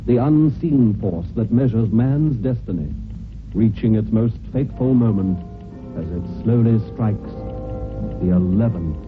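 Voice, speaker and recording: Low solemn male announcer over music. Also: animated, a little slow. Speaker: programme announcer. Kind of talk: solemn, portentous old-time radio narration. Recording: tinny, narrow-band old radio recording.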